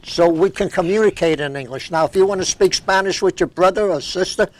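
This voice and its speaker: A man's voice: high-pitched, brisk. An older man from Brooklyn with a New York accent, hosting a local cable talk show and speaking bluntly with strong opinions. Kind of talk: opinionated interview chat.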